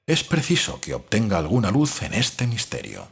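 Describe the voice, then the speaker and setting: Very husky, low-voiced brooding doctor, read by a man. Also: wildly swooping pitch, moderate pace, heavily acted. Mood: doubting, brooding. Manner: formal, reflective. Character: male doctor narrating his diary, sceptical, brooding, analytical. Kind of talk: dramatised audiobook diary narration.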